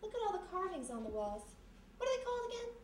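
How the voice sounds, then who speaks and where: A brassy wisecracking dame played by a woman in a 1940s-style radio mystery. She is high and quick, with snappy, slangy sass. The live stage recording is quite echoey. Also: sing-song. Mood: curious. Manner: sassy, slangy, snappy delivery. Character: brassy, wisecracking young female former vaudevillian sidekick. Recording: echoey live stage, distant, faint noise.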